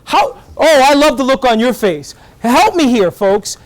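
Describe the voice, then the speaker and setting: A middle-aged man giving a lively college lecture in fast bursts, often checking whether the class is following. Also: extremely high pitch, no room sound. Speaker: guest lecturer, professor.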